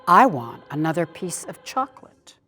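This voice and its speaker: An earnest senior woman reflects on her convictions in a lowish voice that rises and falls widely. It is a stage monologue, phrased deliberately, with faint music beneath. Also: very animated. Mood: reflective. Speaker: actor reading seniors' writing. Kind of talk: expressive dramatic monologue reading.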